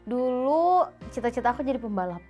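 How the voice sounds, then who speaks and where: A woman's voice: high-pitched, sing-song, brisk, warm tone, faint music behind. A woman in her mid-twenties who answers interview questions in a playful, casual way. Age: 20s. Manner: playful, laughs easily. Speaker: interviewee, social media officer.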